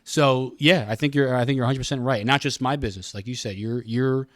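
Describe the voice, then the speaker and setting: A middle-aged American man speaks as a guest in a relaxed interview. His pitch is average for a man, and he explains earnestly, often restarting phrases. The sound is close and dry. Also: fast talker, no room sound. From Pennsylvania, United States. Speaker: radio station communications director, podcast guest. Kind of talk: casual interview conversation.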